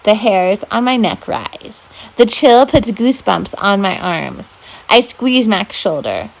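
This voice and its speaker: A woman reading aloud as a volunteer storyteller, with an expressive, dramatic delivery. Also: mid-range voice, slow, rough recording. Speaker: volunteer children's book reader.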